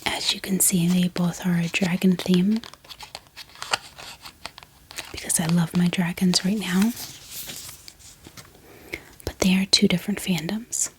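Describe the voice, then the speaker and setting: A woman speaking in soft, gentle ASMR style, showing items and softly repeating words. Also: fairly low pitch, level tone, unhurried, long pauses, slight background noise. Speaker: ASMR book haul host. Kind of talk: soft-spoken whispered ASMR show-and-tell.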